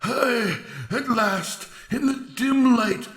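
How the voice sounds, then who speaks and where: A man's voice: very high voice, slow pace, a bit bright, roomy sound, voice pushed up, full character voice. A man voicing a zealous wise old man in a storybook, crying out declamatory, archaic exclamations. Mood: wild exultation. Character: shrieking zealous old wise man, exultant turning terrified. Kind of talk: dramatic storybook character reading.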